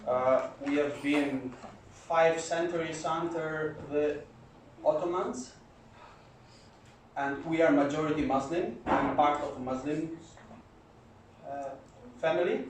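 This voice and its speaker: A man from Albania asking a question from the audience at a conference, speaking slowly and searching for words. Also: mid-range voice, a little hiss or hum. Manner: slow and searching. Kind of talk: slow, searching question from the floor. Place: conference hall. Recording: echoey hall, distant mic.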